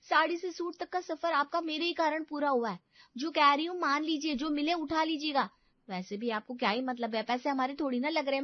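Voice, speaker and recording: A woman's voice: sits high, dry recording, highs cut off, natural voice. A woman voicing a cheeky, scheming younger sister-in-law who talks in a bossy, persuasive, exasperated way.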